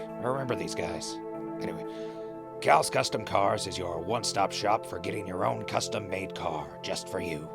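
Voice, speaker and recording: A man's voice: lowish voice, gravelly. A man playing a chatty, wry wasteland radio host in an audio drama, casual and easygoing, prone to asides. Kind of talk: radio drama acting with mock sponsor reads.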